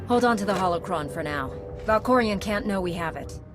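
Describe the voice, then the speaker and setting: An actress playing a hard, vengeful dark warrior in a video game, curt and commanding. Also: female voice, medium pitch, animated, brisk, music in the background, lightly acted. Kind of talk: dramatic video game acting.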